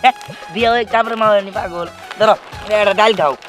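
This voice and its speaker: A man's voice: high voice, a little fast. A man voicing a teasing, playful young snack seller in a cartoon, with a Bangladeshi accent. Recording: loud music bed behind voice.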